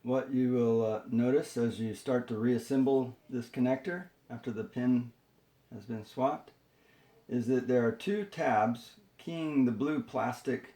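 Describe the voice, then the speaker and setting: A man presenting an unscripted hands-on how-to, talking in a halting, step-by-step way. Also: mid-range voice, fairly even intonation, dark tone, reverberant. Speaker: tutorial presenter.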